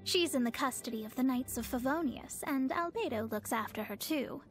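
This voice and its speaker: A woman's voice: high-pitched, expressive, normal speed, faint music behind. A woman voicing a proud young female astrologer in a video game, speaking formally and pompously with theatrical delivery. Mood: grave, measured. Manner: formal, pompous, precise wording. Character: proud young woman astrologer, haughty, scholarly, easily flustered.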